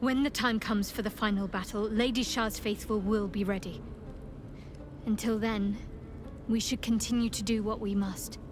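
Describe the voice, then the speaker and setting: An actress playing a proud, guarded young priestess of darkness in a video game, haughty and measured with dramatic pauses. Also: female voice, mid-range voice, fairly even intonation, background noise, close to an everyday voice. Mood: proud, resolute.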